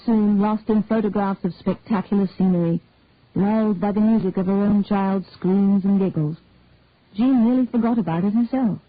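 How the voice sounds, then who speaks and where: A woman reading a story aloud in a calm, measured way, with a tinny phone-like sound. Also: mid-range voice, no room sound. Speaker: narrator.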